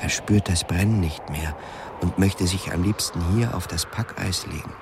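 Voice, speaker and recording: A man's voice: sits low, moderate pace, noisy background. A man reading literary narration in a radio play. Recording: studio radio play with music underneath. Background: clearly audible music.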